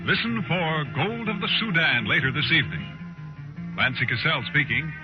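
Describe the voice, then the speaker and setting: A man announcing scripted credits and promos in 1950s radio style with a slightly husky voice. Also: a little high, expressive, moderate pace. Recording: muffled 1950s radio broadcast over music.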